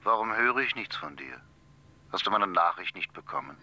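A smooth-mannered, demanding official in a radio drama. His very low voice has a hard, forceful edge and a gravelly creak, and he words his wry demands formally. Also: normal speed, muffled recording, exaggerated voice. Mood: insistent, wry. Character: Nico, smooth, demanding male city official. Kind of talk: German radio drama acting.

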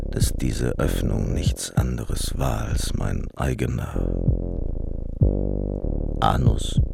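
An actor playing a brooding man who recounts a dark, surreal dream in a slow, hushed radio play monologue, with a gravelly deep voice. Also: heavily acted. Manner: slow, hushed, breathy-intimate storytelling, often near whisper. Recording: loud music under the voice. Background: music.

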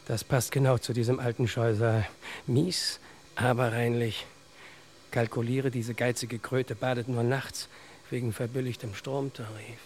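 An actor playing a grumbling, cheeky working-man sidekick who makes blunt deadpan wisecracks in an old radio crime comedy. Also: mid-range voice, a little slow, close to an everyday voice. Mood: sardonic. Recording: reverberant old radio play, faint hiss.

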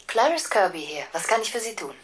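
A woman acting a cool bureaucratic specialist in a radio play, with a mid-pitched voice. She is matter-of-fact and detached, explaining things like an official. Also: very animated, fast, a little hiss or hum, lightly acted. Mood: businesslike.

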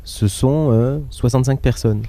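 A man hosting a travel show who prompts his guest with questions in a relaxed conversational interview, recorded outdoors in a public garden. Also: mid-range voice, normal speed.

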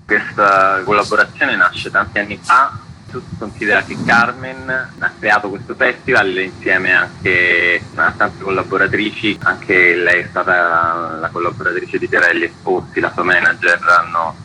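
A man's voice: low voice, steady tone, a little slow, sharp tone, slight background noise, low-quality recording. A man talking enthusiastically and conversationally in a radio interview as an event organiser. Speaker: festival organiser being interviewed.

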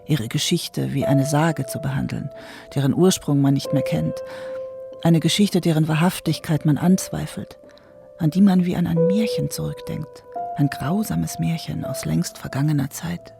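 A man's voice: a little high, steady tone, moderate pace, vocal fry, music in the background. A man performing reflective, literary narration as a melancholy ghostly figure in a radio play. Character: ghostly narrator gathering lost memories, melancholy.

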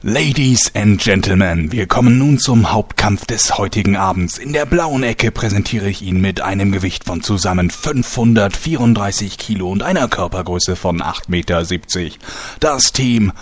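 A man's voice: lowish voice, lively intonation, moderate pace, lightly acted. A man playing a bombastic, theatrical announcer and narrator for a fantasy parody audio drama. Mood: grandiose. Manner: pompous, theatrical.

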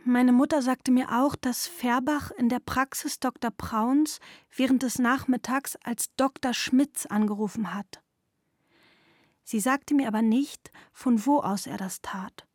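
An actress playing an adult daughter giving a measured, matter-of-fact witness statement in a documentary radio play. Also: female voice, fairly high pitch, a little mellow, no room sound, studio quality, natural voice. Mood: sober, factual. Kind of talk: scripted documentary radio play, formal reading.

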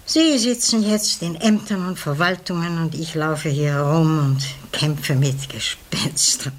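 Disillusioned widowed mother, lowish voice, ironic, intense, deliberate, vintage radio drama. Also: slight background noise. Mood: resigned. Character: disillusioned, defiant widowed mother, bitterly candid. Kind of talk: classic German radio play acting.

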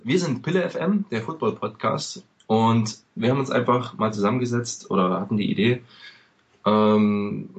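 Rambling, chatty German podcast host. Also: male voice, lowish voice, muffled recording. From eastern Germany. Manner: chatty, many "ähm" fillers, loose rambling explanations. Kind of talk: casual podcast chat. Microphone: home microphone over a call.

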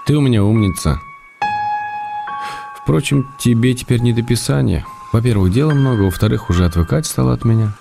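An actor reading a writer's tender letters to his wife in a radio play, gently wry and unhurried. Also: mid-range voice, lively intonation, creaky, over music.

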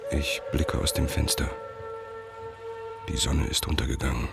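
A man acting as a brooding, suspicious middle-aged writer, narrating a radio play in the first person with a gravelly, measured, introspective delivery. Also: very deep voice, level tone, heavily acted. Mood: observant, pensive. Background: music.

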